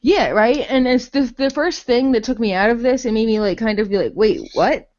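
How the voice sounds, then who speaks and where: A woman with a fairly high voice talks through a casual, unscripted game review. She rambles, leans on "like" and swears bluntly. The recording is a bit dull and lo-fi. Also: normal speed, darkish tone. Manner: rambling, heavy use of "like"; blunt, profane. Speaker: podcast host reviewing games.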